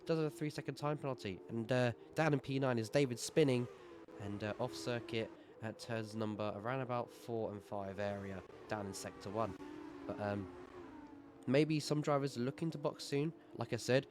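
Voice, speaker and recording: A man's voice: mid-range voice, background noise. Sore-throated male race commentator. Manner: brisk play-by-play, gets excited at overtakes. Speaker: sim racing commentator. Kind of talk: live esports race commentary.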